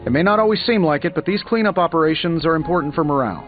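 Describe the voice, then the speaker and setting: A man's voice: on the high side, lively intonation, rapid-fire, narrow, tinny audio, faint music behind, natural voice. A man playing a cocky, wisecracking genius inventor superhero in a video game, with glib, sarcastic banter. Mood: breezy, cocky. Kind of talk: video game dialogue acting.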